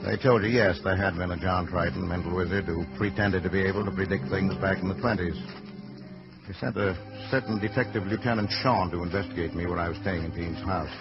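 Haunted clairvoyant, very low voice, portentous and halting, vintage radio drama with dull sound and loud music. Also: male voice, fairly even intonation. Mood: somber narration. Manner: slow, halting, portentous delivery. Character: brooding haunted male mental wizard who foresees doom. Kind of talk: old-time radio drama acting and narration.

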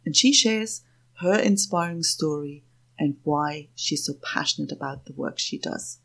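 A woman hosts a podcast with a slightly husky, breathy voice that sits on the low side. She talks in a relaxed, upbeat way and laughs easily with her guest. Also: unhurried, poor audio. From not Australian, has lived in Australia. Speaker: podcast host and business coach. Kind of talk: casual interview chat.

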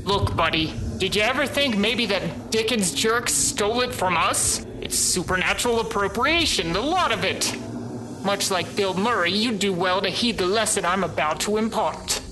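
A woman playing a weary, snippy spirit of Christmas future in an audio comedy, deadpan and grandly ominous. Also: mid-range voice, normal speed, background noise, lightly acted. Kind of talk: scripted audio comedy acting. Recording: music under the voice.

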